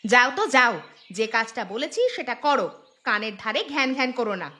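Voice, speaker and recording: A woman's voice: a little high, brisk. A woman voicing a shrewish, nagging cartoon daughter-in-law with a sarcastic, scolding manner. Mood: sharp, sarcastic. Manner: nagging, sharp-tongued, sarcastic. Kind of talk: Bengali cartoon voice acting.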